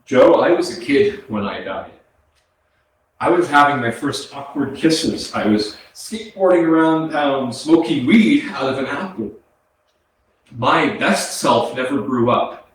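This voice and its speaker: A man's voice: mid-range voice, animated, a little slow, lightly acted. A man in a staged play reading as the ghost of a brother who died young, speaking earnest, poetic monologue in a grieving, tender way. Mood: sorrowful, scared. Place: small arts centre stage, recorded from a distance. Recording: echoey theatre hall, distant mic, faint noise.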